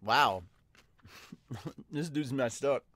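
A man in his thirties hosting a casual reaction, amused and animated, speaking into a close desktop microphone at home. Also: medium pitch, very slow. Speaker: host of a manga dub and reaction channel. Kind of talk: casual reaction chat mixed with dramatic read-aloud. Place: small room at home with bookshelves and a couch. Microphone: close desktop studio microphone.

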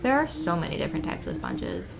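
A woman's voice: rumbling low, wildly swooping pitch, brisk, over a noisy crowd. A woman narrating a travel vlog while walking through shops and streets, describing what she sees in a cheerful way. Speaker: travel vlogger. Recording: loud street and shop ambience.

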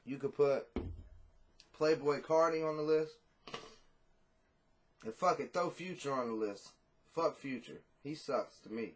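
A man's voice: fairly high pitch, a little slow, long pauses. A man in his thirties, a rapper talking casually and bluntly in an interview, cursing freely, on a video call from a small room at home. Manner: blunt, profane, laid-back.

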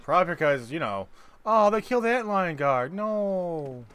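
A man chatting casually while playing a co-op game, halting and often trailing off mid-thought. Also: sits high, very animated, a bit bright, smooth. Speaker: co-op gaming video player. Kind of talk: casual gaming banter.

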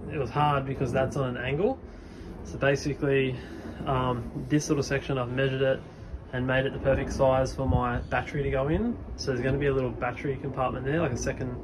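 Rambling young tradesman, flat-voiced. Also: mid-range voice, background noise. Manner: rambling, many ums and fillers. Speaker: HVAC technician vlogger. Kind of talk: casual walkthrough vlog. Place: outdoors beside a van in a driveway.

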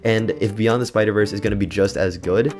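A young man with a hoarse, sick voice narrating a video essay in an earnest, reflective way. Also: on the low side, steady tone, fairly quick, a little mellow. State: hoarse from illness. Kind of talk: scripted commentary narration. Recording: voice-over with faint music.

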